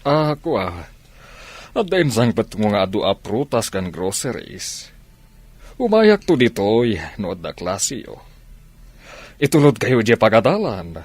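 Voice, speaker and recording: A man's voice: lowish voice, very animated, unhurried, pauses often, darkish tone, dry recording. A man with an Ilocano accent playing an irritable, evasive philandering husband in a radio drama. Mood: flirtatious, hesitant.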